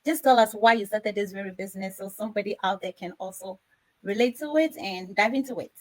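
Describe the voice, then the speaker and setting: A woman's voice: medium pitch, fairly quick, a little mellow, poor audio. A woman from Ghana hosting a live panel discussion in an effusive, grateful manner. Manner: effusive, repeatedly thanking. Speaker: host.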